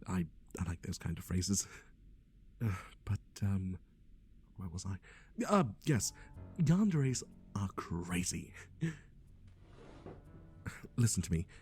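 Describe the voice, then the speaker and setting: Man playing a shady matchmaker, very animated, mellow low voice. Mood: sheepish, rambling. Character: chatty, nervously cheerful, shady male yandere matchmaker. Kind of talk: ASMR roleplay.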